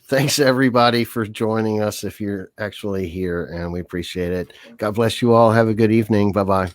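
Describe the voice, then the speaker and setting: An older man hosting a live podcast, with an easygoing, rambling way of talking full of fillers, his voice a little hoarse. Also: on the low side, brisk, no room sound. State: hoarse, voice giving out. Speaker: podcast host. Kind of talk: casual live podcast chat.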